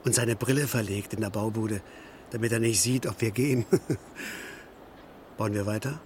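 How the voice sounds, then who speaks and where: An actor playing a defiant, bitter brigade leader in a radio play, challenging and sarcastic. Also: male voice, lowish voice, steady tone, moderate pace, a little hiss or hum, lightly acted. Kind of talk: stylised radio play dialogue.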